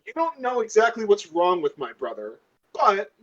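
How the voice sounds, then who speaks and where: Higher-voiced man chatting, muffled audio. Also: very animated, moderate pace, fairly bright tone. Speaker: tabletop game player. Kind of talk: casual banter at a game table.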